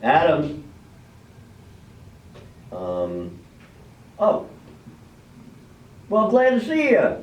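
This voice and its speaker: A man acts out a genial village official running a small-town ritual in a stage play. His folksy talk halts and restarts, in a slightly high male voice. It is heard from a distance in an echoey, dull recording. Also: wildly swooping pitch, slight background noise, full character voice. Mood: folksy, hurried. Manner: folksy, halting, homely grammar. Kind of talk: amateur readers theater stage acting. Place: live play in a public library room. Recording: echoey room, distant mic, dull sound.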